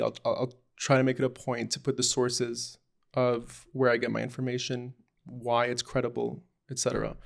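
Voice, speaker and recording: A young man from Lebanon talks about himself off the cuff, stopping often for ums and restarts. His voice has a gravelly, creaky edge. He speaks in a flat, even tone that barely rises or falls. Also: medium pitch. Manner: hesitant, rambling, many ums and restarts. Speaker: podcast host. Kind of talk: unscripted personal introduction.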